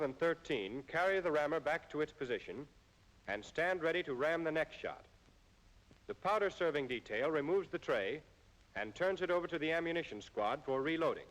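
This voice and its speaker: An American man reading scripted instructional narration in a formal, measured way, as in an old military training film. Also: on the high side, fairly bright tone, poor audio.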